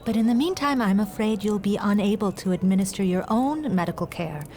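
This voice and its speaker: A woman playing a gentle, patient healer in a fantasy audio drama, with polite, old-fashioned, formal diction. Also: mid-range voice, animated, fairly quick, close to an everyday voice. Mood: caring.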